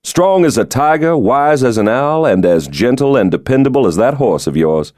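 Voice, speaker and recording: A man acting a hearty, folksy President, pitched up. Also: big pitch swings, moderate pace, darkish tone, no echo, clean studio sound, natural voice. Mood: friendly, boastful. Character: breezy, folksy, commanding male American President in middle age. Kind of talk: audiobook character dialogue.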